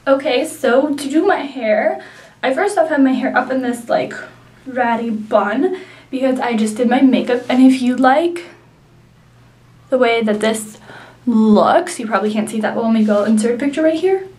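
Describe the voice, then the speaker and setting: A woman's voice: fairly high pitch, normal speed, a little mellow, recorded from a distance. A young woman with a nasal voice from a sinus infection, chatting through a hair tutorial with many likes and ums. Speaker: beauty vlogger. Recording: echoey room, mic set back.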